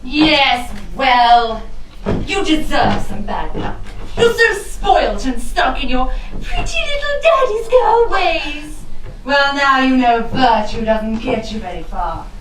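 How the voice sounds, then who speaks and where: Heard from a distance in an echoing room, a scheming widow holds forth in theatrical rhyme. Her delivery is slow and swooping, grandly arch and full of condescension. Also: high voice, huge pitch swings, noisy background, full character voice. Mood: scornful. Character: scheming, haughty, vengeful rich widow. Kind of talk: theatrical rhyming costume-drama stage acting. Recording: roomy, distant microphone.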